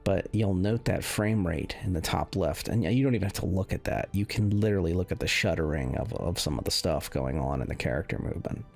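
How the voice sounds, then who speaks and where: Deep voiced guy casually talking thru a game benchmark, kinda husky and rambly, music behind him. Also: fairly quick, hardly any pauses. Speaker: video game reviewer running benchmarks. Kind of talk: casual unscripted gameplay commentary. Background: music under the voice.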